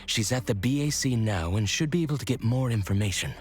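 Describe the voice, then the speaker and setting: A man's voice: mid-range voice, lively intonation, fairly quick, soft background music, natural voice. An actor playing a practical, easygoing male investigator in a video game.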